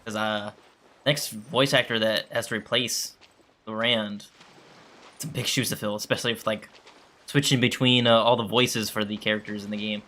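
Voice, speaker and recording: A man's voice: on the low side, little pitch movement, normal speed, sharp tone. A man giving casual commentary as a gaming streamer, rambling and thinking aloud.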